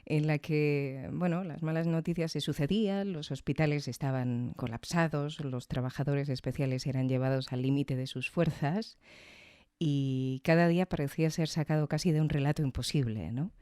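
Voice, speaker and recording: A woman's voice: low-pitched, moderate pace, warm tone, clean tone, no room sound, studio quality. A woman hosting a podcast, giving reflective, conversational scripted commentary with tag questions. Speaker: podcast host.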